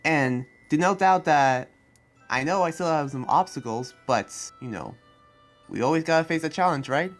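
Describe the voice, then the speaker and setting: A man's voice: on the high side, expressive. A man playing an earnest town-guarding demon slayer in an improvised anime game roleplay, talking in a hesitant, rambling way. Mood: earnest, friendly. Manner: rambling, hesitant, halting explanations. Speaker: roleplay player. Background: background music.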